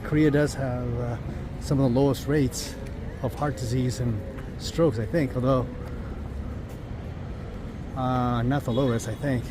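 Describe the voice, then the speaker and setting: A man vlogs as he strolls busy city streets. He muses aloud in a loose, rambling way, with plenty of fillers, in an unremarkable mid-range voice. The handheld camera mic picks up clear traffic and street noise behind him. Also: medium pitch, steady tone, measured pace, pauses often. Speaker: travel vlogger. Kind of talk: casual walking-tour commentary. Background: passing traffic.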